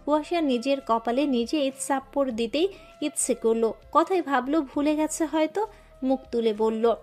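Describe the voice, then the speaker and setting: A woman narrating a scripted romantic love story with an expressive, emotive reading. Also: high-pitched, moderate pace, soft background music.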